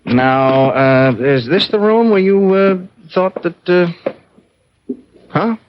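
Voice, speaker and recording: An actor playing a polite, halting, plainspoken beat cop, gently skeptical, in a 1970s radio drama. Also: male voice, high voice, a little hiss or hum. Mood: skeptical, gentle. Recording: dull old radio broadcast recording.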